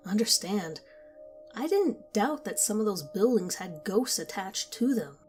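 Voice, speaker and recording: A woman reading a first-person horror story aloud, in a quiet, measured storytelling voice. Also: mid-range voice, very animated, faint music behind. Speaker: narrator. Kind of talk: scripted horror story narration.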